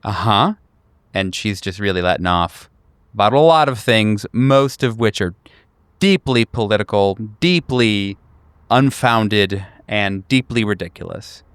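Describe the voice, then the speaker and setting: A man's voice: fairly low pitch, a little slow, clean tone, no echo. A man narrating a tabletop horror game as its game master.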